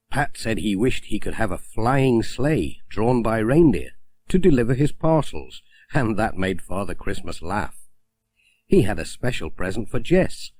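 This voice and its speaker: A man narrating a children's story as an audiobook reader, in a gentle, expressive storytelling manner. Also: fairly low pitch, no echo.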